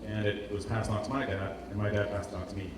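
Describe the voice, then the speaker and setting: Echoey, distant man presenting haltingly. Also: lowish voice, fairly even intonation. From Portugal. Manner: hesitant, repeats words as he searches for phrasing. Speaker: presenter, industrial designer. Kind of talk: informal conference presentation. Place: indoor event space with heavy echo. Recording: roomy, distant, noisy live hall recording.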